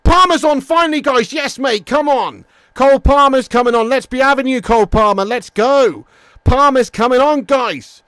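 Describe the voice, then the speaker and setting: A man's voice: extremely high pitch, normal speed, fairly bright tone, clear, resonant tone, dry recording. A man hosting a football watch-along livestream, chatting and commentating casually in a sweary, matey, bantering way. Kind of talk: casual reactive match commentary and chat.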